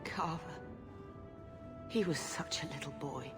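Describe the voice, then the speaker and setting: A woman's voice: sits low, unhurried. An actress playing a wistful middle-aged mother in a fantasy video game, speaking with tender sorrow. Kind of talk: video game cutscene acting. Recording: music behind the voice.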